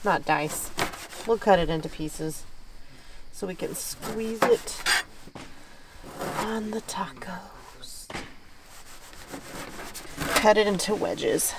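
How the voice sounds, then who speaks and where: Woman host, chatty cooking walkthrough, heard from a distance over clear background noise. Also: mid-range voice, animated, extremely slow, long pauses. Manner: chatty, narrates each step. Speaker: cook and channel host. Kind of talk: casual cooking demo. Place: outdoors at night by a camper kitchen.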